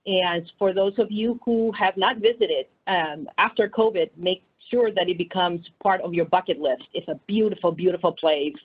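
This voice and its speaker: A middle-aged woman with a Peruvian Spanish accent speaks earnestly and at a measured pace, addressing a virtual town hall as a college vice chancellor. Her voice sits a little low, and it comes through a tinny, phone-like video-call line. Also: breathy edge. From Peru.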